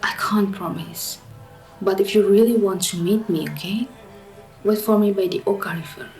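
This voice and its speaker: A woman's voice: medium pitch, normal speed, darkish tone, soft background music, lightly acted, low-quality recording. A woman acting a guarded young village woman secretly in love, hesitant and earnest, in a radio drama. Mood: reluctant, tender.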